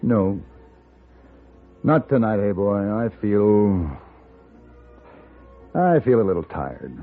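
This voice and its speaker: A low, thin-band vintage radio voice: a gentleman gunfighter of the Old West. He is composed and shrewd, formal in his phrasing and used to being obeyed, with pitch that moves freely. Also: animated, soft background music, full character voice. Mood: wry, easy. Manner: measured, formal, authoritative. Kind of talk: 1950s radio western acting. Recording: narrow-band 1950s radio recording.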